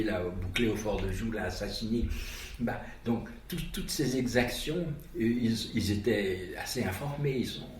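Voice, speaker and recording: Low-voiced man from Haiti, hesitant. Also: darkish tone, slight background noise. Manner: hesitant, measured, reflective. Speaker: interviewee. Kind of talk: documentary interview. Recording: echoey, distant mic with faint ambience.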